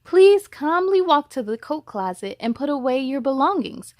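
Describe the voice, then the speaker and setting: A woman voicing a kind but gently firm first-grade teacher in a storybook, addressing a class politely. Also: high-pitched, very animated, normal speed, no echo, clean studio sound. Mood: bright, welcoming.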